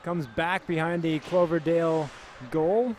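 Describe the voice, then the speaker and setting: A Canadian man calls a youth hockey game in a relaxed, chatty way, his voice sitting a little high. His commentary is loose and full of filler words. Faint background noise runs under the recording. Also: measured pace. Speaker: play-by-play commentator.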